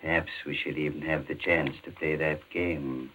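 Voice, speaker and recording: An actor playing a suave, menacing male chess schemer in a 1940s radio mystery, speaking in a measured, insinuating way. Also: a bass voice, steady tone, bright, forceful tone, exaggerated voice, rough recording. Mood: suavely mysterious. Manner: measured, insinuating, politely sinister.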